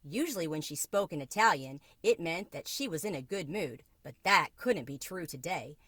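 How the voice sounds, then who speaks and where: A woman's voice: deep voice, lively intonation, moderate pace, fairly bright tone, no echo. A woman reading a children's book aloud as a storyteller.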